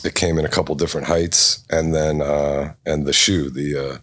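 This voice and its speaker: A man's voice: extremely low pitch, flat delivery, moderate pace, a bit bright, dry recording, clean studio sound. A middle-aged man from New England, a podcast guest in casual chat, reminiscing in a laid-back, rambling way with many fillers. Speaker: podcast guest, apparel designer.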